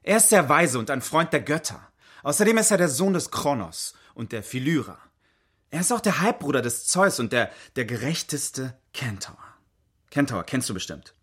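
An articulate, well-read man in a radio play. His pitch moves around in a lively way as he explains and debates, colloquial and good-natured. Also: moderate pace, husky. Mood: calm, explanatory. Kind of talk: radio play narration and dialogue.

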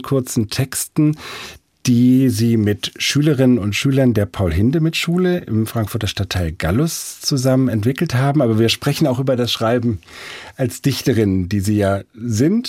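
A man presenting and interviewing on the radio, speaking in measured, formal phrases. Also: mid-range voice, a little mellow, husky, no echo, clean studio sound. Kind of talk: scripted radio introduction and interview questions.

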